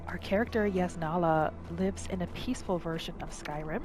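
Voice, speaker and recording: A woman gently narrating a relaxing game roleplay in a soft, soothing manner. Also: fairly low pitch, moderate pace. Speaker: gameplay narrator. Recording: voice over loud game music.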